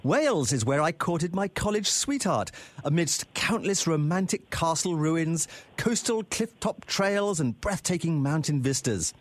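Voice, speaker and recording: A man hosting a radio travel show, interviewing a guest in an expressive, warm way. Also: fairly high pitch, unhurried, dry recording. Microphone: studio microphone.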